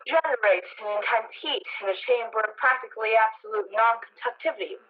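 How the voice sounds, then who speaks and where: Woman acting a halting professor over a thin line. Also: a little high, natural voice. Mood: grave, speculative. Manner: measured, halting, formal delivery. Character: grave, scholarly astronomy professor giving conjecture. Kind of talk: radio drama acting. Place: living room at home. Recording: thin phone-like radio line.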